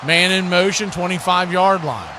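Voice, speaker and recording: High-voiced man calling football over noise. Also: normal speed, crowd noise. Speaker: play-by-play sports announcer. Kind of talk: live radio football commentary.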